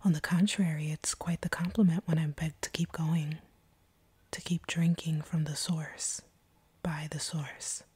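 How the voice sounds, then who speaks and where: A woman playing a seductive, teasing, slightly sadistic vampire captor in an intimate audio roleplay, speaking in a breathy whisper close to the microphone. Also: sits low, steady tone, darkish tone, dry recording, full character voice. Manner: slow, teasing, predatory purr. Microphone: close microphone, dry.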